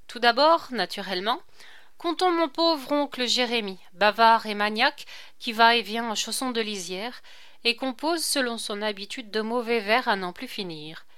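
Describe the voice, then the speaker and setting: A woman reads a letter aloud for an audiobook. Her tone is bright and forceful. Her voice is smooth and resonant, and she reads expressively. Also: medium pitch. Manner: clear, expressive reading. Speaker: audiobook reader.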